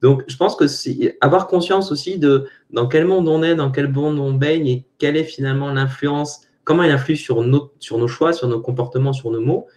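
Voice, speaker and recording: A man from Brittany, a coach on an online panel, explaining his ideas calmly with everyday examples. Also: medium pitch, moderate pace, hardly any pauses. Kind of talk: casual panel discussion.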